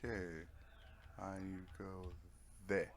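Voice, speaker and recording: A man's voice: low-pitched, little pitch movement, very slow. A man giving casual, rambling let's play commentary as he streams a video game, half talking to himself with playful little words. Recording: clear background noise from nearby TV. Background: Netflix playing in the background.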